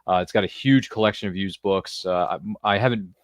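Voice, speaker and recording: A man's voice: low-pitched, brisk, dry recording, clean studio sound. An American man chatting casually as a podcast host, talkative and rambling as he goes. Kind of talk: casual interview chat.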